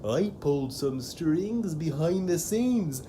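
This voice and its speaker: Woman acting a gleeful pumpkin-headed fiend, deep for her, slow, theatrical, sinister, audio drama villain. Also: expressive, mellow, slight background noise. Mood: gloating, taunting.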